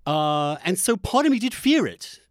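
A man telling personal stories in conversation, halting yet animated, with casual swearing. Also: high-pitched, dry recording, clean studio sound.

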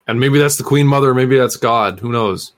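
A man's voice: mid-range voice, normal speed. A man speaking as a podcast guest, chiming in briefly with agreement in a casual, mock-scholarly discussion.

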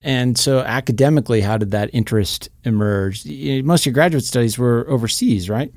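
A man's voice: mid-range voice, a little fast, a little mellow, dry recording, studio quality. A man hosting an interview podcast, asking questions in a friendly, conversational way. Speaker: podcast host.

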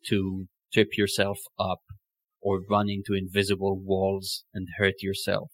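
A man with a low voice and very even intonation, hosting a conversational interview. He speaks in a philosophical, searching manner, recorded close and dry. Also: unhurried, no room sound. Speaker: podcast host.

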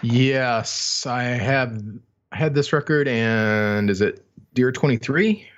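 A man's voice: mid-range voice, slow. A middle-aged man chatting casually as co-host of a music podcast, on slightly lo-fi audio. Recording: slightly dull, lo-fi sound.

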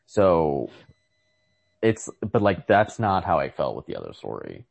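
A man co-hosting a podcast, discussing stories casually in a halting, tentative way and often correcting himself. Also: deep voice, huge pitch swings, gravelly. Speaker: podcast co-host.

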